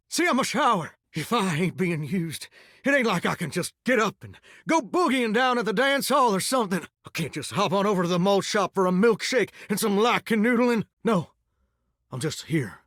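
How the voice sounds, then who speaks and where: Folksy southern-drawl talking shower, chatty cowboy type, kinda high voice that swoops around a lot, dry studio sound. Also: male voice, fairly bright tone, dry recording, exaggerated voice. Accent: put-on Southern US drawl. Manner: drawling, folksy, rambling, uses country sayings. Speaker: video game character. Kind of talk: game voice lines. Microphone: close studio mic.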